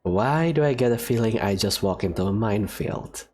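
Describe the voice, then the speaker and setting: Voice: mid-range voice, animated, normal speed, a little mellow, close to an everyday voice. A voice actor playing a cautious young male student who talks in a hesitant, worried, stammering way. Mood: wary. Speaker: player character in a fan visual novel.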